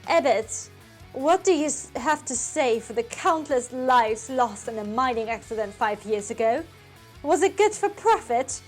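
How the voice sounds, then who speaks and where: A woman's voice: a little high, expressive, a little slow, faint music behind. A woman voicing a nervous, urgent young British man trying to sound cool and heroic in a story. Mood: cool bravado. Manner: stammering, urgent, faux-cool bravado.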